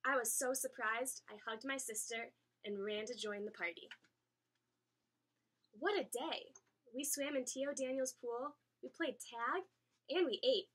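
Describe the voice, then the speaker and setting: A woman reading a children's story aloud in an expressive, animated way, acting out the dialogue. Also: on the high side, measured pace, slightly rough. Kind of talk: expressive read-aloud narration.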